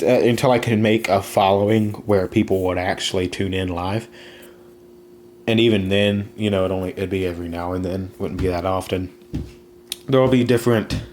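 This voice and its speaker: Rambling young man, low voice. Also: a little mellow, a little hiss or hum. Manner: rambling, many fillers and restarts. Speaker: podcast host. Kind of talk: casual solo podcast talk.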